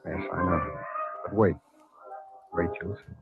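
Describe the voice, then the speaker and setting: An actor playing a worried, weary man in a 1950s radio drama, speaking gravely and deliberately. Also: low voice, fairly even intonation. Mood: troubled, weary. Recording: dull, husky-sounding old radio recording with music.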